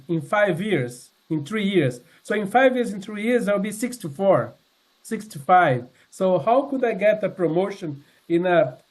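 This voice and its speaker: A man in his sixties with a notably high-pitched voice gives a sincere personal testimony. He talks haltingly, stopping to restart phrases and filling pauses with "uh" and "um". His delivery is plain and earnest rather than polished. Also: darkish tone, poor audio. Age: 60s. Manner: halting, many fillers and restarts. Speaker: member giving a testimony. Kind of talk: personal testimony talk with slides.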